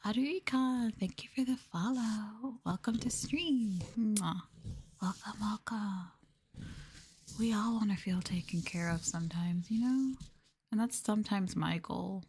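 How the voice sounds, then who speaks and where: A woman doing a live ASMR stream, talking softly and breathily to viewers in a gentle, subdued way, often dropping into a whisper close to the mic. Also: mid-range voice, a little slow, slight background noise. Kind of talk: ASMR soft talking, casual chat with viewers. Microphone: close ASMR microphone.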